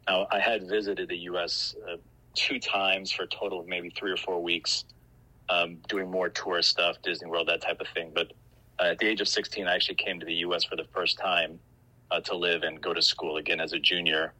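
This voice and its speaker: A middle-aged man with an American accent, telling his story as a podcast guest in an explanatory, measured way. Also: sits low, level tone, bright, forceful tone, husky, rough recording. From Greece. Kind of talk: informal interview storytelling.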